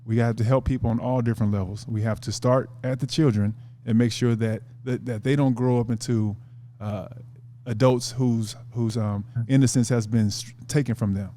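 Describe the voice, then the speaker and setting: A man's voice: medium pitch, steady tone, normal speed, few pauses, mellow. A man, an author guest on a podcast, talking earnestly and casually, often repeating words as he thinks.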